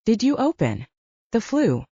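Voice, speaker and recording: Calm protective werewolf man, read by a woman, warm and lively, dull lo-fi sound. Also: sits high, a little slow, creaky, slightly rough, no echo, voice pushed up, exaggerated voice. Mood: alarmed.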